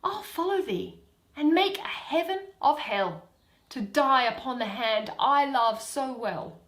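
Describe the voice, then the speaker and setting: A woman's voice: high-pitched, lively intonation, slow, fairly bright tone, echoey room, pitched above the performer's own voice, full character voice. A woman playing a devoted, stubborn young woman in love, imploring and impassioned in a dramatic Shakespeare reading. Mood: wounded, defiant.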